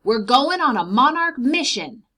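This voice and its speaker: A woman voicing a kindly, eager man who loves butterflies in a storybook, speaking with animated emphasis. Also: high voice, measured pace, pitched above the performer's own voice, heavily acted. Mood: cheerful urging. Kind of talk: storybook character read aloud.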